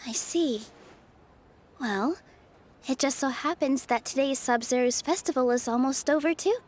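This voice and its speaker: A woman playing a gentle, earnest and devout young female dancer in a video game, speaking like a storyteller. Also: high-pitched, moderate pace, slight background noise, close to an everyday voice. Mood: graceful resolve. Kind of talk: video game character dialogue. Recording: music behind the voice.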